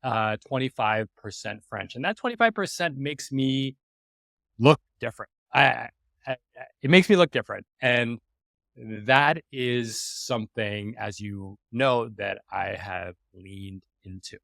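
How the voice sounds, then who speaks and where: A man in his forties, from New York City, speaks at a middling pitch in a personal monologue. He restarts his sentences often and jumps to loud emphasis without warning. The recording is dry and close, with no room sound. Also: measured pace, vocal fry, no echo. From New York City, USA. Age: 40s. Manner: animated, self-interrupting, with frequent restarts and sudden emphatic jumps. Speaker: podcast host.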